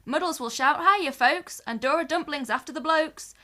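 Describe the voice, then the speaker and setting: A woman's voice: sits high, normal speed, sharp tone. A woman playing an earnest pantomime prince, declaiming rhyming verse rhythmically. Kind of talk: amateur pantomime verse recital.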